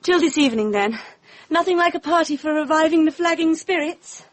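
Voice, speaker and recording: A woman's voice: high-pitched, expressive, brisk, no room sound. An actress playing a flighty, theatrical young society woman with an English accent in a radio drama. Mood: bright, sociable.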